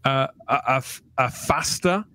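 A man's voice: mid-range voice, a little slow, pushed and bright, no echo. A middle-aged man hosting a podcast in a conversational interview style, speaking into a close desk microphone at home. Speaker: podcast host. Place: small home room, indoors. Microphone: desk microphone close to the mouth.

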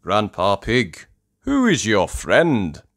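A courteous gentleman stallion from a children's cartoon storybook, played by a male reader. He is posh and old-fashioned in manner, with a lively, varied pitch. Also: takes it slowly, heavily acted. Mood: gracious. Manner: polite, old-fashioned upper-class address.

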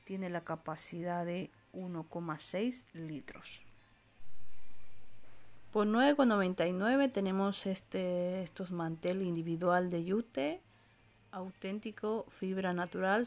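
Woman, low voice, steady product listing, handheld phone, supermarket aisle. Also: slow. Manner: reads out prices and sizes in a steady listing way, trailing off softly. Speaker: shopping vlogger. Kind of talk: walk-through product rundown. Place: indoor discount supermarket aisle. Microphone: handheld phone camera, filming while browsing.

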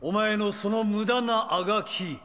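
A man's voice: high-pitched, unhurried, fairly bright tone, slight background noise. An actor playing an arrogant, megalomaniac immortal vampire villain in an anime audio drama, theatrical and sneering, drawing out his words. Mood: scornful. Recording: long reverb.

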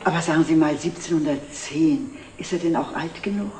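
A woman's voice: low voice, brisk, mellow, slight background noise, close to an everyday voice. An actress playing a self-assured, naive, well-to-do middle-aged lady in a 1960s TV drama. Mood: curious, assured. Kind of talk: 1960s German TV drama acting. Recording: echoey old TV film sound.

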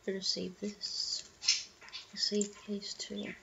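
A young woman murmuring to herself slowly in an in-character roleplay vlog, recorded on a webcam in a small room. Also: medium pitch, completely flat delivery, creaky. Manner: slow, murmuring self-talk with frequent laughs. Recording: dull lo-fi webcam audio with loud noise.

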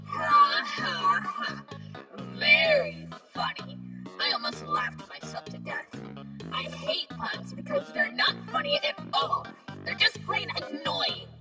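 A woman's voice: extremely high pitch, huge pitch swings, takes it slowly, full character voice. A woman voicing a small, murderous talking flower in a cartoon dub, sarcastic, taunting and theatrical. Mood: dry sarcasm. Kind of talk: comic dub voice acting. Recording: music behind the voice.

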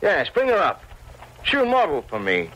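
An actor playing an imperious young painter, obsessively lovesick, abrupt and commanding, in old radio drama style. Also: male voice, low voice, sing-song, normal speed, bright, forceful tone, a little hiss or hum, exaggerated voice, poor audio. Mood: agitated, elated.

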